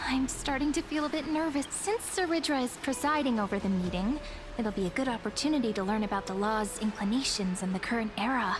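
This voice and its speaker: An actress playing a candid, thoughtful young heroine in a video game, polite but frank. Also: female voice, a little high, fairly quick, noisy background, natural voice. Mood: curious, uneasy.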